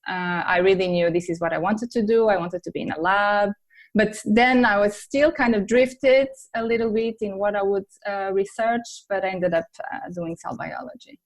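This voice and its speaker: A woman's voice: medium pitch, moderate pace. A middle-aged woman from Portugal, answering questions as a guest in a conversational interview. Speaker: podcast guest, academic editor.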